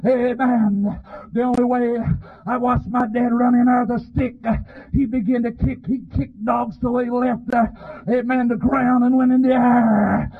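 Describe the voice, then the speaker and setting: Male revival preacher, very high strained pitch, husky, impassioned delivery, dull lo-fi tape. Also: little pitch movement, a little slow. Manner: impassioned, pleading, often shouting, punctuates phrases with "amen". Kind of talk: fervent revival sermon. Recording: dull, lo-fi sermon recording.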